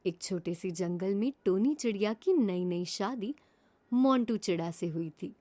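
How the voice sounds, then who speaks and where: Very mellow female story narrator. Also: medium pitch, animated, unhurried. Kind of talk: scripted children's story narration.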